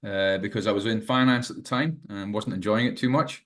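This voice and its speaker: A Scottish man in his sixties with a fairly low voice talks as an interview guest. He hesitates, restarts and fills pauses with "uh," chuckling now and then. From Scotland. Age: 60s. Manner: hesitant, many fillers and restarts, chuckles often. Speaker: guest, management teacher and author. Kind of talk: casual interview talk.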